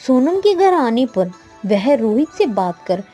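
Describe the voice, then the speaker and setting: Woman reading a story out, highish voice, lots of up n down, music behind. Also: very animated, slow, warm tone, husky. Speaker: story narrator. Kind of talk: scripted storybook narration.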